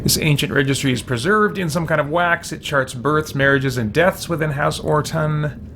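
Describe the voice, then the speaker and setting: A man's voice: a little high, moderate pace. A man hosting a video game let's play, giving casual commentary, muttering to himself and reacting theatrically in role. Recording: loud game noise behind voice. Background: video game sound.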